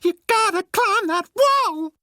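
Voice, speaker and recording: A dead lounge diva performed by a man wails in a hysterical falsetto. The voice is shrill and extremely high, drawing words out like prophetic song in a comic storybook. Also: expressive, bright, forceful tone, no echo, clean studio sound, exaggerated voice. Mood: hysterical singing. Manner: singing, drawn-out prophetic wailing. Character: dead singer ghost, a woman wailing in hysterical falsetto.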